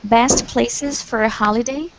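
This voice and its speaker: A woman student in an online class reading a list aloud haltingly, with small "um" and "uh" pauses. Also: a little high, gravelly. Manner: hesitant reading with "um" and "uh". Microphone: video call. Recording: dull lo-fi call audio.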